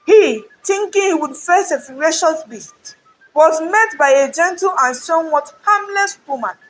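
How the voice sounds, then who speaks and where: Woman voicing a solemn, high-pitched heroic storyteller man. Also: animated, a little slow, poor audio. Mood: solemn, authoritative. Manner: solemn, authoritative, declamatory. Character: mighty, defiant male hero telling solemn riddling tales.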